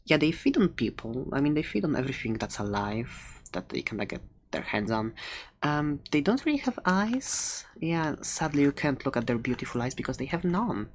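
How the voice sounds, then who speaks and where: A woman playing a friendly, chatty fantasy shopkeeper in a soft ASMR roleplay, playful and hesitant as she rambles through her sales pitch. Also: sing-song, normal speed. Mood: playful, enthusiastic.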